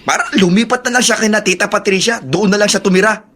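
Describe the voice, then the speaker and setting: A man's voice: sits high, fast talker, a bit bright. An actor playing a blunt, resentful young man with a Filipino accent, complaining defiantly in a radio drama.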